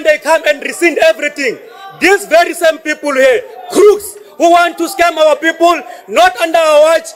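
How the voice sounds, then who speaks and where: A South African man, a councillor giving a heated, combative speech in a council debate, often shouting. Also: extremely high pitch. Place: council chamber.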